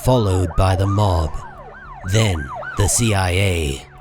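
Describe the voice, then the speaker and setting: A man narrating a comic book story in a grave, measured, dramatic style. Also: deep voice, noisy background. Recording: music under the voice.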